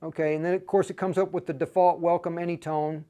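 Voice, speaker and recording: High-pitched man hosting a halting live tutorial. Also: flat delivery, mellow, smooth. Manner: halting, repeats and restarts phrases; informal, addresses viewers directly as "you guys". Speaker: livestream host and instructor. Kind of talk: live tutorial, casual talk to viewers.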